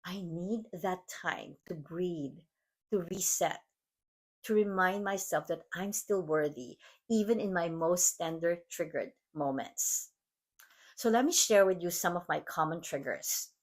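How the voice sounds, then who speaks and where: A woman from the Philippines with a Filipino accent, hosting her podcast in an earnest, measured, reflective monologue. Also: lowish voice. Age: adult mom. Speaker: podcast host. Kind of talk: scripted motivational monologue.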